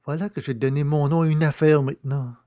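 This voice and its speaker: A man playing a naive, self-pitying poacher in prison, easily flattered and grandiose, in a theatrical, exclamatory audio drama style. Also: on the high side, lightly acted. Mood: solemn reading. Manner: plaintive, exclamatory, drawn-out words. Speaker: actor in an amateur audio drama.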